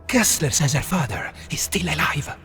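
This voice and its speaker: A man's voice: a little high, expressive, moderate pace, fairly bright tone, soft background music. A man playing an anxious, earnest wartime partisan in a video game, urgent and emotional. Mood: urgent, worried. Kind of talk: cinematic video game acting.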